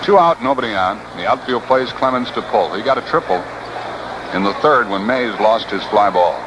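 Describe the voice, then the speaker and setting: A man's voice: lowish voice, normal speed. A man with an American accent calling baseball play-by-play as live radio sports commentary on a 1960s broadcast. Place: outdoor ballpark broadcast booth. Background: steady noise and outdoor ambience.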